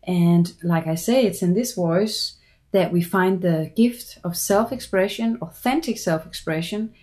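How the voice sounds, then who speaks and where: A middle-aged woman from Denmark with a Danish accent, a voice coach giving an earnest, measured promotional pitch for her seminar. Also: darkish tone. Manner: measured, earnest, persuasive delivery.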